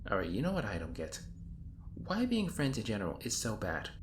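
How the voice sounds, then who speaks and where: In a roomy space over noisy ambience, a man acts out a sarcastic, exasperated hero in a roleplay drama. His low voice rambles in casual, modern phrasing, like someone arguing with an enemy he knows well. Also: huge pitch swings, fairly quick. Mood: questioning, exasperated. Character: exasperated, sarcastic young hero stuck in time loops. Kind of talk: audio roleplay acting, conversational monologue. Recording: roomy echo, clear background noise. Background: designed ambience.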